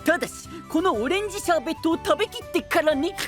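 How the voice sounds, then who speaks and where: Squeaky little anime boy, cutesy n whiny, really animated. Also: fast, soft background music. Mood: reluctant but determined. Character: childlike, cute, whiny but willing small boy. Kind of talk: anime voice drama acting.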